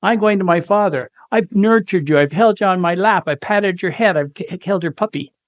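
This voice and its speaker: A man's voice: high-pitched, moderate pace, no echo. A man speaking earnestly on a devotional panel, quoting scripture with growing emphasis. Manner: earnest, emphatic, quotes scripture with rising fervor. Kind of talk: informal devotional talk.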